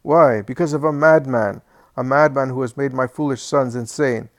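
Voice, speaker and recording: A man's voice: normal speed, no room sound, studio quality, lightly acted. A man voicing a sick old man in a senile fit of temper, cursing, accusing and complaining in a querulous voice. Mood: angry, self-pitying. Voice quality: shrill and complaining.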